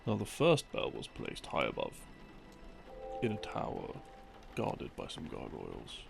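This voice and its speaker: A man with a heavily creaky voice rambles through an explanation as a sleep aid. His pitch moves around freely while he pauses, muses and picks up again without hurry. Music plays clearly behind him. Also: wildly swooping pitch. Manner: halting, unhurried, musing, trailing off. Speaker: audio creator playing a rambling boyfriend. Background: soft background music.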